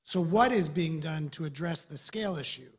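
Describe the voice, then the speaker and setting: A man's voice: a little high, moderate pace, husky. A man from the USA, a government development official, giving earnest, loosely structured remarks on a panel. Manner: earnest, rambling with restarts.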